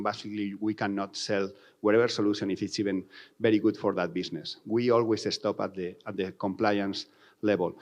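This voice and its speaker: Low-pitched Spanish-accented man presenting. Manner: non-native English with reworded phrases. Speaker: company founder presenting. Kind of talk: conference presentation.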